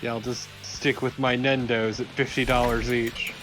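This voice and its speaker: A man's voice: medium pitch, flat delivery, normal speed. A man co-commentating on a video game in casual banter, with dry, wry asides. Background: game music.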